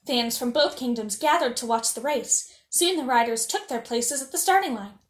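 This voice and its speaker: A woman reading a children's story aloud as a storyteller, with animated, expressive delivery. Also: fairly high pitch, moderate pace, roomy sound. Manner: animated, acting out dialogue. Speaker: storyteller reading as a princess character.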